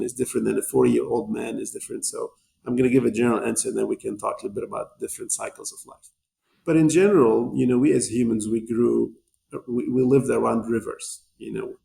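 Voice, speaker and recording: A man's voice: medium pitch, gravelly, a little mellow, a little hiss or hum. A man, talking as a podcast guest and explaining things in a rambling way, often repeating words as he restarts phrases. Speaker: podcast guest, nutrition company executive. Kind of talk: conversational interview explanation.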